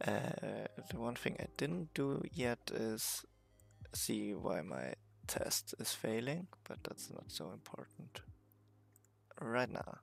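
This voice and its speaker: A hoarse man chatting casually on a livestream about his game development work from a messy university office, relaxed and self-mocking. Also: on the low side, slow, faint music behind. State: hoarse, recovering voice.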